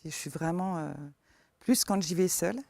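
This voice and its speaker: Soft-spoken woman with eastern French accent. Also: on the low side, moderate pace, creaky, no echo. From eastern France. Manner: soft-spoken, hesitant with many fillers. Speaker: forest therapy guide. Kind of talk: interview answers.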